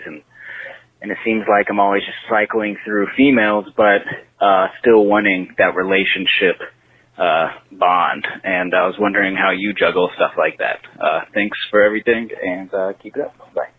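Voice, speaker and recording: A man phones in to a show and asks his question haltingly, filling the gaps with ums and uhs. His voice sits on the lower side, and it comes through a thin, tinny phone line with no room sound at all. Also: steady tone, slightly rough, no echo. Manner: hesitant, with many fillers. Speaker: caller.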